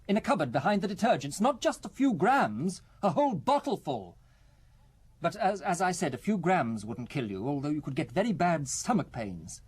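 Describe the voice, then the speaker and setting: Fretful, fragile husband, rather high-voiced. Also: male voice, sing-song, darkish tone, slightly rough. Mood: earnest, uneasy. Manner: hesitant, halting, self-justifying. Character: worried fragile husband who suspects his wife of poisoning. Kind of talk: audio drama acting.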